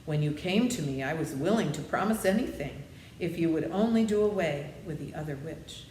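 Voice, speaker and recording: A woman's voice: sits low, animated, normal speed, mellow, echoey room, slight background noise, poor audio. A woman voicing an old humbug wizard in a storybook, meek and apologetic, explaining things kindly. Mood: confiding, explanatory.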